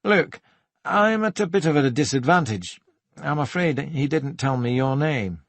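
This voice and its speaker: A man's voice: fairly high pitch, expressive, measured pace, no echo, voice pushed up. A man voicing a stuffy, formal male police detective who is easily flustered, in an audiobook. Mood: polite, awkward.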